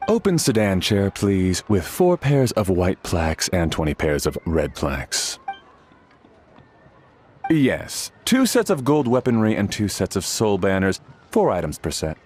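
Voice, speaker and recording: An actor playing a polite, fastidious manservant who speaks formally and with measured care in a game cutscene. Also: male voice, deep voice, sing-song, a little mellow, faint music behind. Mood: exacting, businesslike. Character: courteous, fastidious male servant arranging his master's funeral. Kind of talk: animated game cutscene acting.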